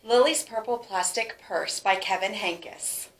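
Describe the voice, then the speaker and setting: A woman reading a children's storybook aloud with expressive, emphatic phrasing for young listeners. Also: medium pitch, a little slow, echoey room, rough recording. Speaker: teacher reading a picture book aloud.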